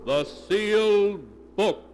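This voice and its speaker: A man's voice: high-pitched, animated, extremely slow, noisy background. A man narrating in the melodramatic scripted style of a 1940s radio announcer, on an old recording.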